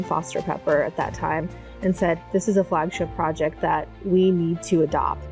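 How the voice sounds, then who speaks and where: A woman's voice: lowish voice, a little mellow. A woman whose first language is Polish, speaking earnestly in a documentary interview about her work as a pro bono director.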